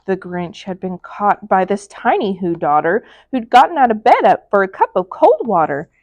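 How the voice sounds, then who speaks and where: A woman narrating a children's story aloud in a dramatic, emphatic, expressive way. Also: mid-range voice, normal speed, no echo, lo-fi sound. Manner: dramatic, emphatic, with hushed drops. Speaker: storybook reader.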